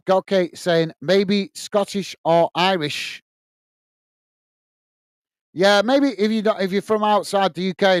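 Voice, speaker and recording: A man's voice: sits high, smooth, no echo, studio quality. A man with a strong Lancashire accent hosting a live football watch-along, calling the play and reading out viewer comments in a chatty way with lots of "uh"s. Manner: chatty, reads out chat messages with filler "uh".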